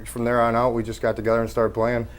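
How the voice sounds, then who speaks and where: A man's voice: medium pitch, monotone, quick pace. A man from New York State talking casually as an interviewed musician. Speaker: band guitarist and lead singer being interviewed.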